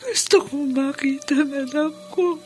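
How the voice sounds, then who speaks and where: A tearful older mother in a radio drama, missing her son. She speaks slowly in a high, plaintive, weakened voice. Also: a little hiss or hum. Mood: grieving, frail. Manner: slow, plaintive. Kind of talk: Filipino radio drama acting.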